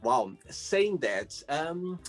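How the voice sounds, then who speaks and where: A man hosting a casual interview, asking questions and telling stories in a halting way with repeated words. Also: fairly high pitch, big pitch swings. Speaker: host. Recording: faint music behind.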